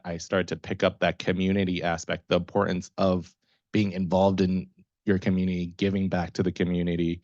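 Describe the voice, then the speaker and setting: A young man with an American accent and a very low voice talks casually as a panelist about himself. The sound is close and dry, though a little dull. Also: darkish tone, no echo. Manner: casual, chuckles often while talking. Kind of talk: informal personal account at a career panel.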